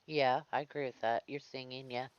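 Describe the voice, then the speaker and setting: A man chatting casually in a smoky, raspy voice. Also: medium pitch, fairly even intonation, brisk, no echo. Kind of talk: casual chat. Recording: dry, dull lo-fi sound.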